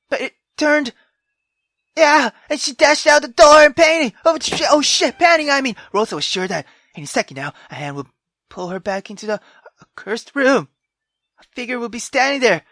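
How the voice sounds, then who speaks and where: A woman reading a visual novel aloud as a gamer, dramatic and expressive, breaking off into exclamatory, sweary asides. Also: sits high, no echo, studio quality. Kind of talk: dramatic reading with reaction commentary. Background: game music.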